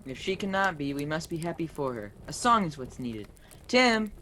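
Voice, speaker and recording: A woman playing a gentle, charitable poor father and clerk, earnest in radio play style. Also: sits low, very animated, moderate pace. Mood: earnest, hopeful. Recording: faintly noisy home recording.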